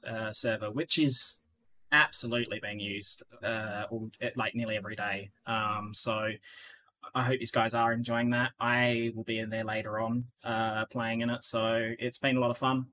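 Bright-voiced man hosting a casual podcast. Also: mid-range voice. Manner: rambling, many fillers and restarts. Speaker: podcast host.

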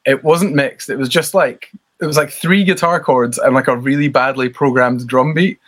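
A man's voice: mid-range voice, moderate pace, a bit bright. A Scottish man in his thirties, a band member talking casually as an interview guest, rambling and self-deprecating with lots of fillers.

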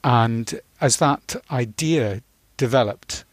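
A man in his sixties from Northern Ireland answers as an interview guest. His voice sits at an average pitch and is recorded very dry. He speaks reflectively, often stopping to find the right word. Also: takes it slowly, dry recording.

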